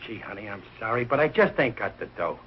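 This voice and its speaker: A man's voice: lowish voice, animated, quick pace, natural voice. An actor playing a bumbling, good-hearted, hard-up American family man in a 1940s TV sitcom, talking in a plaintive, colloquial, blustery way. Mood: rueful. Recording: muffled, noisy 1940s television soundtrack.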